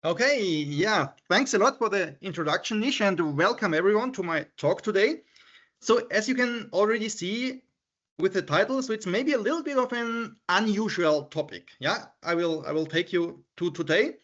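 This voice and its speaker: A man's voice: high-pitched, animated, moderate pace, a bit bright. A middle-aged man with an Austrian German accent giving an online seminar as a professor, hesitant and repeating words, with many fillers. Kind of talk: online academic lecture. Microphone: computer mic on a video call. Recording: slightly muffled video-call audio.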